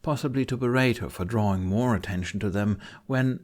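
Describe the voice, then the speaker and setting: A man's voice: on the low side, fairly quick. A man reading dramatic fiction as a scripted audiobook narrator.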